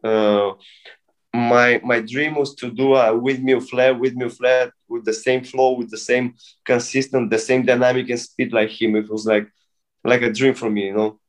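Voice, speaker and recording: Man in his thirties, halting English. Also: mid-range voice, level tone, poor audio. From Bulgaria. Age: 30s. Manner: halting non-native English with many restarts. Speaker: guest breakdancer. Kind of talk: casual interview.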